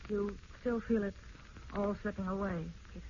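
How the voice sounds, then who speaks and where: A gentle, soft-hearted wife played by a woman talks with a hesitant, natural air and a middle-pitched voice. The style is old radio drama, dull in tone with noise behind it. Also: steady tone, normal speed, natural voice. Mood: wistful. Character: gentle, wistful wife and hesitant would-be mother.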